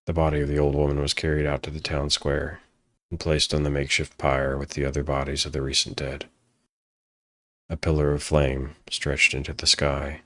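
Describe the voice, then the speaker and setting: Very deep-voiced man narrating solemnly. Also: monotone, fairly bright tone, no echo. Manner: solemn, measured. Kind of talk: scripted fantasy story narration.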